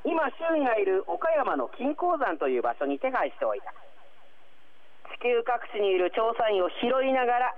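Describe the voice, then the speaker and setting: A man playing a formal, authoritative alien commander in a radio drama, heard through a radio transmission filter. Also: high voice. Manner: authoritative, formal, measured orders. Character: alien commander, formal superior praising his agent. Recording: thin, tinny radio-transmission sound.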